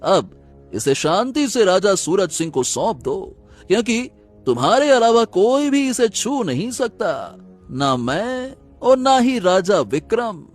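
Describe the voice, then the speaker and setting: A man's voice: sits high, big pitch swings, slow, soft background music, heavily acted. A man playing a cunning, menacing sorcerer in a Hindi cartoon folk tale, with a theatrical, commanding manner. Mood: smug, explaining. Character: cunning, menacing male sorcerer with magic powers. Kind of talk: dramatic Hindi cartoon story acting.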